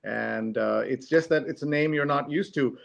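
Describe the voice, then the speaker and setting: Dull lo-fi stream sound, male talk-show host, mid-pitched voice, casual live chat. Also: moderate pace, vocal fry.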